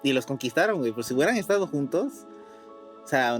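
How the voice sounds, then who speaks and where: A man chats as a podcast co-host in an informal, slangy way. His voice sits at a middle pitch, with faint music behind it. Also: sing-song, quick pace. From Puerto Rico. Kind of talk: casual chat.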